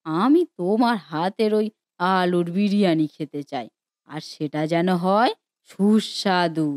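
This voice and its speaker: A woman's voice: medium pitch, a little mellow, no room sound, clean studio sound, close to an everyday voice. A woman voicing a fussy, insistent old granny with folksy speech in a cartoon story. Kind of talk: Bengali cartoon story voice acting.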